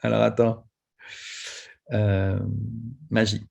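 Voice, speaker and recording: A man hosting a webinar over a video call, relaxed and easygoing as he invites questions. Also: lowish voice, very slow, creaky, lo-fi sound. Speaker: webinar host. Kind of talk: moderating a webinar.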